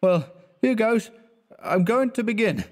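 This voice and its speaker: Brief: male reader doing a tipsy, rambling young man, pitched high, words tripping over each other. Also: expressive. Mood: maudlin tipsy. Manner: slurred, halting, repeating words. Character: drunk young male artist, maudlin and rambling.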